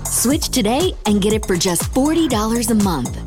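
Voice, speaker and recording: A woman's voice: fairly high pitch, sing-song, moderate pace. A woman reading a scripted commercial in an upbeat, polished way. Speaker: ad announcer. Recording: clear music bed behind.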